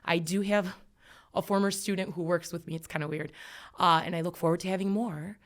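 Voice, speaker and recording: A woman's voice: fairly low pitch, fairly even intonation, a little fast. A woman in her thirties, an educator guest on a podcast, talking reflectively and emphatically with many fillers. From United States. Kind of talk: earnest podcast conversation.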